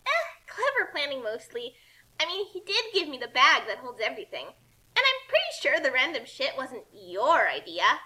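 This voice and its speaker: A woman's voice: sits high, wildly swooping pitch, normal speed, pushed and bright, full character voice. A woman voicing a confident, dramatic young heroine who boasts and over-explains in a comic fan fiction reading. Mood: smug.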